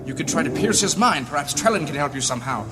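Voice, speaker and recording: A man's voice: a little high, lively intonation, fast talker, lightly acted. An actor playing a grave, fatalistic male mage in a fantasy audio drama, with solemn and formal phrasing. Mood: grave, resigned. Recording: music behind the voice.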